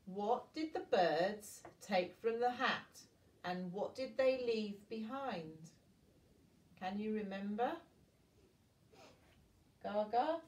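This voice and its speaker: Older woman, storybook reading for children, expressive, grandmotherly asides, roomy echo, distant from mic. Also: medium pitch.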